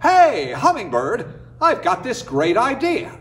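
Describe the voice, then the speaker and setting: An excitable storybook coyote man, acted out by a man, grumbling and exclaiming in a raised pitch. His delivery swoops up and down with lots of animation. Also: slow pace, heavily acted. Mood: excited. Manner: exclamatory, muttering to himself.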